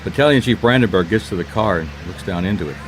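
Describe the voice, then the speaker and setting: A man's voice: low voice, lively intonation, quick pace, noisy background. An actor playing a world-weary male private detective in a noir radio drama, dry and probing. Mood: narrating. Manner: dry, probing, hesitant coaxing.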